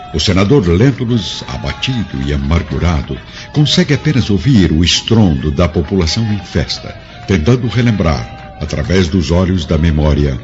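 Deep, sweeping male dramatic narrator. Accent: Brazilian. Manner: solemn, theatrical. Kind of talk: dramatic scripted narration of a historical radio serial. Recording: dull lo-fi recording with music underneath.

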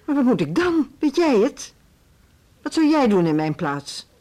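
A woman with a Netherlandic Dutch accent playing a firm, put-upon music school head in a radio drama, brisk and argumentative. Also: medium pitch, close to an everyday voice. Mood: exasperated. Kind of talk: naturalistic radio play dialogue.

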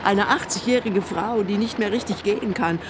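A woman's voice: mid-range voice, moderate pace, background noise, close to an everyday voice. An actress playing a frail, eccentric woman in her eighties, imperious and needling, in a German radio play. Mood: indignant. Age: elderly. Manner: theatrical, scolding, pleading.

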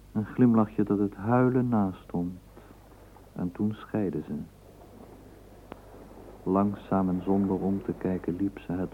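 A man narrating a literary radio play in a measured, dramatic storytelling manner. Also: low voice. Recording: tinny, narrowband old radio tape, faint hiss.

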